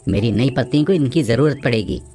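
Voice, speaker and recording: A woman's voice: extremely low pitch, brisk, warm tone, soft background music, voice pushed down. A woman voicing a selfish, callous husband in a cartoon story, with a blunt manner. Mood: angry, cold. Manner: blunt, scolding.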